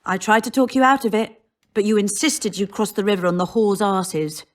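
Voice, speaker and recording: A woman's voice: mid-range voice, normal speed, lightly acted. An actress voicing a dry, matter-of-fact female soldier in a fantasy video game. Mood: wry.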